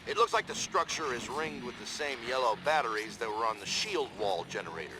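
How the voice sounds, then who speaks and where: A man playing a stern, forceful military commander in a video game, giving orders and briefings in clipped phrases. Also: mid-range voice, animated, fairly quick, close to an everyday voice. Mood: grave briefing. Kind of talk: video game character acting. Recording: noisy background behind the voice.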